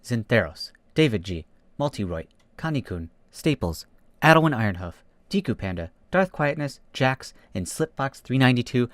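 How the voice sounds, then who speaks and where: A man's voice: mid-range voice, normal speed, dry recording. Casual male YouTuber in a dry, close recording. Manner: informal, self-deprecating, animated when reading. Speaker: YouTube host reading fan fiction. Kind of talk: casual YouTube narration and chat.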